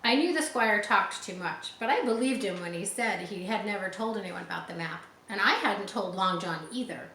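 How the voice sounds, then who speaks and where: A woman reading a children's story aloud expressively, pausing to explain words for young listeners. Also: medium pitch, pushed and bright. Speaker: audiobook reader. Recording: roomy echo, faint background noise.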